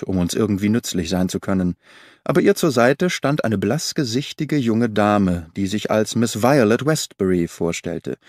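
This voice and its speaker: A man narrating an audiobook in an even, measured reading. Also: on the low side, dry recording, clean studio sound. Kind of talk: scripted narration.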